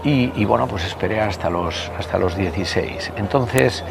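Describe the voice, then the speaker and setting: A man, telling stories as an interview guest, hesitant with many pauses and restarts and some casual swearing. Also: on the low side, gravelly. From Basque Country. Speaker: interview guest, party member. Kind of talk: conversational storytelling interview. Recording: loud background noise.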